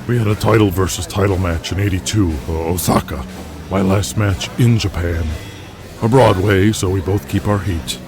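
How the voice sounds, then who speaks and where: Man acting calm veteran wrestler, very low voice, good-natured, conversational, audio drama, music underneath. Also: moderate pace. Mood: frank recounting.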